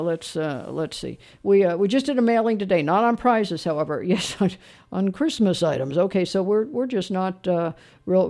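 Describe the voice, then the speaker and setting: A radio host taking listener calls, chatty and upbeat, with quick affirmations between calls. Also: medium pitch, darkish tone. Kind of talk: call-in radio chat.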